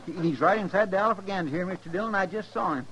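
Earnest, deferential frontier sidekick, higher creaky voice, vintage radio recording with light noise. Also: male voice, fast talker. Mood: urgent. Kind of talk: 1950s radio western acting.

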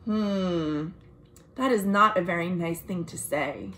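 A young American woman reads a picture book aloud to children, expressive and deliberate, with friendly asides like a storytime librarian. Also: lowish voice, a little hiss or hum. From United States. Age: young adult. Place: small room at home.

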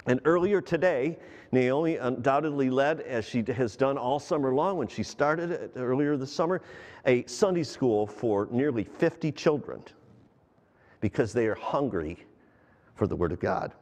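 A middle-aged male pastor preaching from a large church stage with an animated delivery, putting questions straight to the congregation. His voice sits at an ordinary middle pitch and carries a long reverb from the hall. The headset mic keeps him up close under that echo. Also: normal speed. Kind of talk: sermon.

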